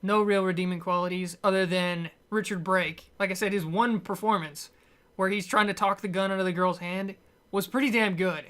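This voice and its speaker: A woman's voice: mid-range voice, fairly even intonation, normal speed, a bit bright. A woman giving a casual, rambling film review in a blunt, opinionated way. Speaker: movie reviewer.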